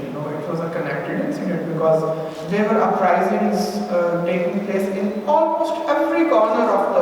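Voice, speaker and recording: Male lecturer, Pakistani accent, discursive and unscripted delivery, long hall reverb with faint noise behind. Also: high-pitched. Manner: discursive, frequent "you know" asides. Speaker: speaker giving a history talk. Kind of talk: public lecture, semi-improvised. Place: indoor event room at a museum. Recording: very echoey hall, distant mic, faint noise.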